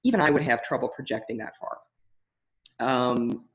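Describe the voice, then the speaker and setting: A woman with a big, loud voice teaching in a webinar, talking through ideas in a chatty way with frequent restarts. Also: a bass voice, animated, unhurried. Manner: chatty, many restarts and fillers. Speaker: ritual facilitation teacher. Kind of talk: webinar teaching, answering a question.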